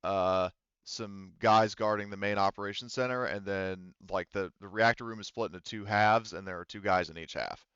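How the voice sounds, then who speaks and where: A man's voice: on the low side, normal speed, a bit bright, clear, resonant tone, no room sound, dull audio. A man running a tabletop roleplaying game as game master, explaining the situation conversationally.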